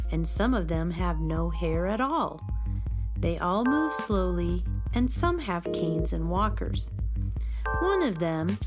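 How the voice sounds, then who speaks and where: A woman narrates a children's story slowly and gently, painting each scene with care. Plucked guitar music plays clearly under her voice. Her pitch is mid-range. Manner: slow, gentle, descriptive.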